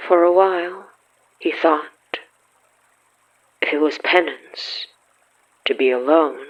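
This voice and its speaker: Slow, solemn male narrator. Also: on the high side, muffled recording. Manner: solemn, measured, with dramatic pauses. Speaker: narrator of a horror fiction podcast. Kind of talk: scripted literary narration.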